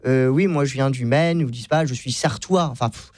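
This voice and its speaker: A man's voice: medium pitch, fairly quick, a little mellow, no echo. A man speaking off the cuff as a radio debate guest, hesitant and often stammering over repeated words.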